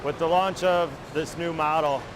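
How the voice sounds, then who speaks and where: An American man with a fairly high voice talks in a news interview. Clear vehicle and plant noise runs behind this employee. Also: steady tone, normal speed. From Ohio, United States.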